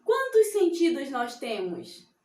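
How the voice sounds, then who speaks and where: Slow sing-song teacher woman, echoey room. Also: sits high, huge pitch swings, darkish tone. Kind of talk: children's science lesson.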